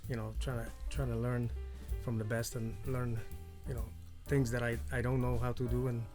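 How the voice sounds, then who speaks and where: A man's voice: mid-range voice, little pitch movement, moderate pace, darkish tone, faint music behind. A man from New Hampshire with a mix of Polish and Boston accent, answering a teacher's questions in an easygoing, hesitant way with many fillers. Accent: Polish mixed with Boston. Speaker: carving student. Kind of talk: casual interview answers.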